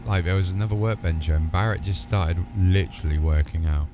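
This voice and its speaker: A man's voice: deep voice, fairly even intonation, brisk, noisy background. A British man giving casual, chatty gameplay commentary, thinking aloud in an informal way as a streamer. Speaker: video game streamer. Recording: game music behind the voice.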